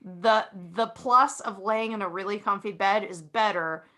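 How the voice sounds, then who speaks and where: Bright-voiced woman in casual conversation. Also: medium pitch, measured pace. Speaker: podcast guest. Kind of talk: casual group chat.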